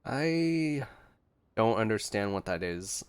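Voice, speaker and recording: A man's voice: on the low side, sing-song, slow pace, smooth. A man giving casual gameplay reaction commentary as a streamer, with game music behind him. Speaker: gaming streamer.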